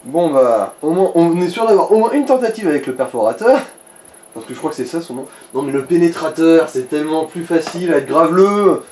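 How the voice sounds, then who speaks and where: A man's voice: a little high, animated, a little fast, a little mellow, slight background noise. A man co-hosting a let's play, chatting casually and with crude banter over the gameplay. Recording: roomy echo, music behind.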